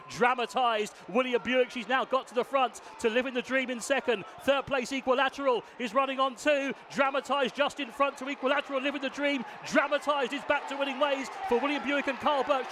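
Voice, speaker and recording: A man's voice: extremely high pitch, a bit bright, slight background noise. A man giving live horse racing commentary in an urgent running call.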